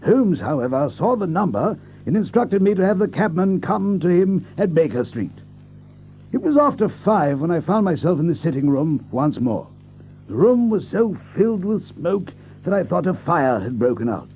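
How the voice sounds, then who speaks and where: An actor playing an amiable, earnest gentleman, a detective's companion, who also narrates the audio drama. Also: high voice, big pitch swings, normal speed, slight background noise, poor audio.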